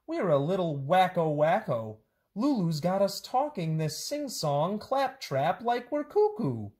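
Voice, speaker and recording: A woman voicing a fussy, brisk male school principal who speaks in singsong silly phrases. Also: on the low side, sing-song, darkish tone, pitched above the performer's own voice. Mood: brisk, soothing.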